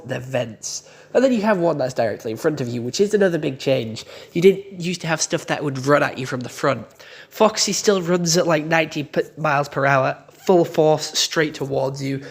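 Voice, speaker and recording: Young guy, croaky voice, loose chatty delivery with fillers, casual YouTube game reviewer. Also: on the high side.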